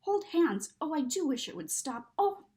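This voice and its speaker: A woman's voice: high-pitched, big pitch swings, moderate pace, dark tone, voice pushed up. A woman voicing a fretful, careful older schoolgirl in a storybook, plaintive and proper. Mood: distressed.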